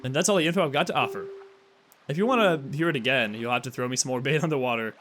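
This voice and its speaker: A chatty fish from a video game hands out tips and wants more bait before it will repeat them, read out by a man. It sounds brisk and matter-of-fact. Game music plays clearly behind. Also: medium pitch, close to an everyday voice.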